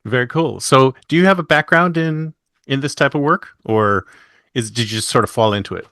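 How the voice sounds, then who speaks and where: A man's voice: mid-range voice, fairly quick, a bit bright. A man hosting an interview podcast in an easygoing, casual way. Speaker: podcast host.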